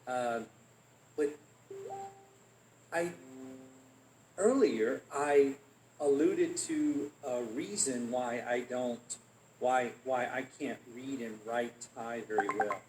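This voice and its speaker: Male guest speaker, relaxed anecdotal storyteller, mid-pitched voice, roomy echo with faint noise. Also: slow, pauses often. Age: adult. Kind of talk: conversational Q&A. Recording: echoey room, faint noise.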